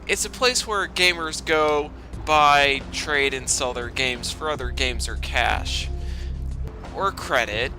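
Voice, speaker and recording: A man's voice: medium pitch, slow, over music. A man doing a sarcastic, foul-mouthed dramatic reading with exasperated commentary, his throat affected by a lingering cough. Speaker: host of a storytime reading series.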